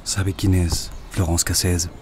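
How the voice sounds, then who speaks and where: An actor playing a courteous, persuasive French president in an audio drama, speaking formally and with measured care. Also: male voice, sits low, level tone.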